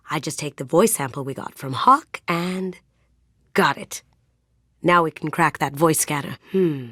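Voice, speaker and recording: A confident young female thief from a video game, speaks in a low feminine register. She is sly and playful, with a hushed, self-assured manner. The sound is dry, with no room on it. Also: no room sound, heavily acted. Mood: cool, focused. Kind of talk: game character voice lines.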